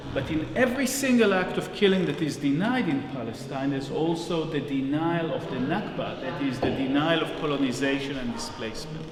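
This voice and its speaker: A man with an Israeli accent giving an unscripted public talk as an exhibition guide, phrasing his thoughts deliberately and rewording as he goes. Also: a little high. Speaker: research agency director giving a narrated exhibition tour. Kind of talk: public talk, spoken off the cuff. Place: large echoing gallery space. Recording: echoey reverberant hall with audience sounds.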